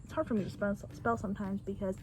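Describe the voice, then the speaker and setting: A woman's voice: medium pitch, steady tone, quick pace, very noisy. Chatty woman, Bulgarian native speaker. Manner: chatty, rambling, self-deprecating. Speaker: beauty vlogger. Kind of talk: casual makeup tutorial chat. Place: outdoors on a back porch. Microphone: phone camera.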